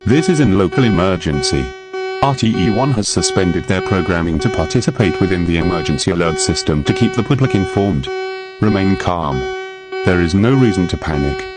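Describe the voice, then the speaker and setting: A man's voice: sits low, expressive, a little fast, over loud music. A man's stiff, synthetic-sounding voice reading a formal scripted emergency broadcast announcement.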